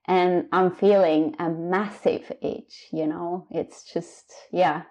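A woman in her thirties from Switzerland, a book author talking reflectively in an interview with many pauses and fillers. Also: fairly low pitch, steady tone, darkish tone, lo-fi sound. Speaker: author guest. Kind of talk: casual interview conversation.

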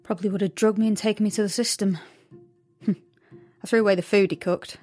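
A woman's voice: medium pitch, fairly even intonation, brisk, a little mellow, soft background music, lightly acted. A woman playing a wry, guarded seventeen-year-old girl in a naturalistic science fiction audio drama. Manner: wry, sardonic. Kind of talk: naturalistic audio drama acting with inner narration.

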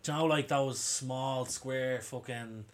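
Irish lad in his 20s, voice a bit hoarse, swears a lot, rambles, right on the mic. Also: medium pitch, fairly even intonation, takes it slowly. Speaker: podcast co-host. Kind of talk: casual chat. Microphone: close to the mic.

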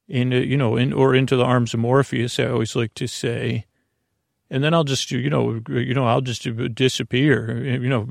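Male American bedtime podcast host, creaky, fry-heavy voice at medium pitch, soothing, rambling monologue full of stumbles, very dry recording. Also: no echo. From Long Island, US. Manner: meandering, tangential, stumbling and mashing words together; lulling, soothing delivery.

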